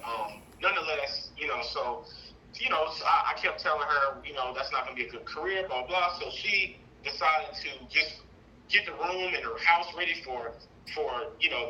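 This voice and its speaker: A thirty-year-old man talking casually on a call, telling a personal story in a rambling, animated way. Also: on the high side, moderate pace, slight background noise, low-quality recording. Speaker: caller seeking advice, trucking business owner.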